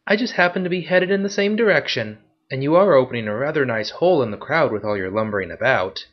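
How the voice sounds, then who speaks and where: A man's voice: mid-range voice, expressive, fast talker, voice pushed up, lightly acted. A man voicing a sly, playful young female pickpocket who talks coyly. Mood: teasing. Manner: playful and coy. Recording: dull lo-fi recording.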